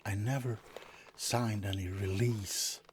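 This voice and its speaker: A man playing a suave, teasing vampire in a soft, often whispered bedside ASMR roleplay, murmuring with dramatic pauses. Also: fairly low pitch, steady tone, background noise. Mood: chatty, indignant.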